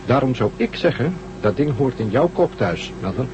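An actor in a Dutch radio drama playing a stern, proud spaceship commander who talks with sarcastic authority. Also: male voice, medium pitch, very animated, moderate pace, lightly acted. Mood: sardonic. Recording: muffled, noisy old radio drama recording.

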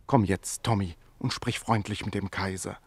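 A man's voice: lowish voice, steady tone, moderate pace, husky, no echo. An actor playing a meek Greek tailor in a radio play, who coaxes animals like babies and speaks deferentially to his betters. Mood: soft coaxing. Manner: coaxing baby talk to animals, deferential to his lord. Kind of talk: theatrical radio play acting.

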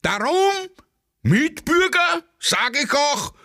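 High, bombastic Viennese street orator. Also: male voice, sing-song, slow pace, pushed and bright, heavily acted. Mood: bombastic, fervent. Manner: declamatory, pompous. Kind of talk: rabble-rousing speech in satirical radio play.

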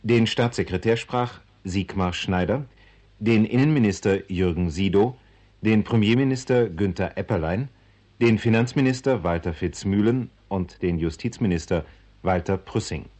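A man working as a radio announcer, reading scripted credits with formal, measured enunciation. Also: on the low side, darkish tone.

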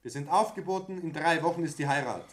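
An actor playing a resentful, stubborn young son and business heir in a radio play, speaking accusingly. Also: male voice, on the high side, fast, lightly acted. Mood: defiant. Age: young adult. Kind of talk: German radio play acting.

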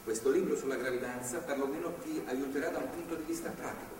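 An actor playing a stern, paternal anti-abortion zealot in a stage drama, speaking in an earnest, insistent, moralizing way. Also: male voice, medium pitch, fast talker, close to an everyday voice. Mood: earnest pleading. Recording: echoey hall from far off, clearly noisy.

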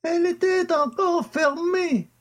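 An elderly scientist giving testimony in an audiobook mystery. The voice is pitched very high and sounds plaintive and earnest. The delivery is formal and emphatic, with slow stretches. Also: male voice, fairly bright tone, full character voice. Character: elderly scientist father, earnest witness under questioning. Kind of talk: audiobook character acting.

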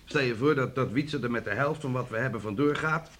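A man's voice: mid-range voice, fairly quick, lightly acted. A man playing a resentful, sarcastic grown farm son in a Dutch radio play, mocking and theatrical. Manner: mocking, laughing bitterly, theatrical. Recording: noisy old radio drama recording.

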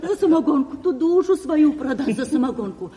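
An actress playing an abandoned pregnant peasant wife in a radio drama, fiery and plaintive, with folksy wailing exclamations. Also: female voice, high-pitched, expressive, fairly quick, a crowd in the background. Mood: outraged, wailing. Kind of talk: Soviet stage-style radio drama acting. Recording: hall reverb of 1970s radio play.

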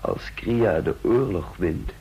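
Husky, secretive male conspirator. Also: sits low, animated, lo-fi sound, full character voice. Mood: brooding, scheming. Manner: deliberate, hesitant, formal, murmuring to himself at times. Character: secretive, calculating, terminally ill male conspirator with courteous authority. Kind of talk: Dutch radio drama acting.

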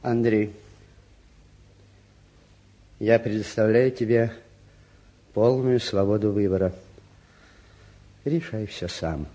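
An actor playing a grave, measured middle-aged father in a 1950s Soviet radio play, speaking formally and deliberately on an old recording. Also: on the low side, mellow, a little hiss or hum. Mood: solemn.

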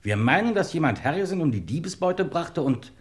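An accusing detective in a radio crime drama. His voice is fairly high and rises and falls dramatically. He declaims each point formally and emphatically. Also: big pitch swings, fairly quick.

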